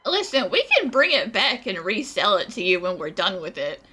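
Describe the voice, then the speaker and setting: Animated, bright-voiced woman gamer, wisecracking. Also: medium pitch, normal speed, breathy edge. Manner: playful, wisecracking. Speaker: gaming streamer. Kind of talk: casual game commentary. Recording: game music behind the voice.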